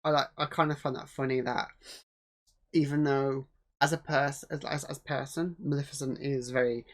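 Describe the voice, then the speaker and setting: A man co-hosting a casual film review podcast, speaking quietly and often answering with short agreeing replies. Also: medium pitch, fairly even intonation, moderate pace. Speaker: podcast co-host.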